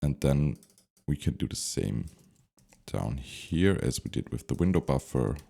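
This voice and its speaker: A man giving an unscripted software tutorial, thinking aloud step by step as he instructs. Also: a bass voice, animated, measured pace, gravelly.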